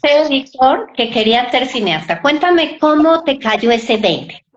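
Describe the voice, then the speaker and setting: A woman with a Mexican accent hosts a relaxed-format interview, speaking conversationally to her guest. Her audio is somewhat dull and lo-fi, as on an online call. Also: high voice, unhurried. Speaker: interview host.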